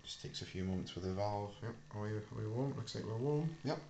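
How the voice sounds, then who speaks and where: A middle-aged British man casually demonstrating a homemade device in a hesitant, halting, unscripted way. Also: on the low side, dark tone, lo-fi sound. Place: small hard-walled room indoors. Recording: echoey room, clicks and faint hiss.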